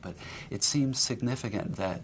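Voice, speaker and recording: A man's voice: lowish voice, normal speed, low-quality recording. A man gives a reflective interview answer, hesitantly searching for his words. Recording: faint background noise.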